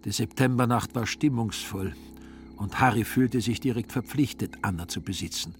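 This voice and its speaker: A man narrating a literary audio drama in a measured, dryly ironic way. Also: mid-range voice, slight background noise.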